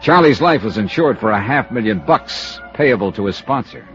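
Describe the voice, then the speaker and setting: An actor playing a wry, brisk American insurance investigator in a 1950s radio drama, with clipped hard-boiled delivery. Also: male voice, medium pitch, expressive, fairly bright tone, lightly acted. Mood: wry narration. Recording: muffled old radio recording with music.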